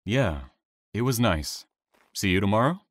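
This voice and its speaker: Man acting reserved train operator, very deep voice, measured, courteous, quietly intense, veiled menace, audio drama. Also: expressive, no echo. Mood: polite.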